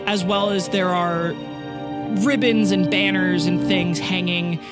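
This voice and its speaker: A woman's voice: lowish voice, steady tone, takes it slowly. A woman game master improvising descriptive narration for a tabletop adventure. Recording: prominent music under the voice.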